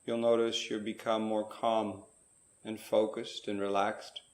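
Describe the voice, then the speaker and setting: Low, even-toned man guiding a meditation, roomy echo. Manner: measured delivery in short phrases with pauses. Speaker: guided meditation leader. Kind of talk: slow guided spiritual meditation.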